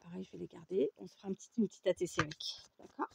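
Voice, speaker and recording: A woman's voice: on the low side, lively intonation, racing, vocal fry, slight background noise. A French woman hosting a casual craft tutorial, chatting and often checking in with her viewers with "d'accord?". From France. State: runny nose. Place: home craft table with a fan running.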